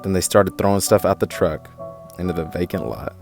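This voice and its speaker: Deep-voiced defiant young man. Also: lively intonation, a little fast, gravelly, over music. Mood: reminiscing. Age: young adult. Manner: streetwise, sardonic, informal. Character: defiant young activist, younger brother of police chief. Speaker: actor. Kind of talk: audio drama acting.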